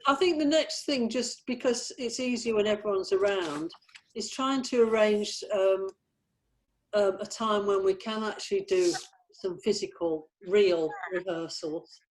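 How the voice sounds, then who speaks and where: A woman talks casually among colleagues. Her pitch is middling, and she comes across as an organiser going over arrangements in conversation. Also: measured pace, slight background noise, low-quality recording. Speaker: production organiser at a table read. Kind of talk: casual meeting discussion.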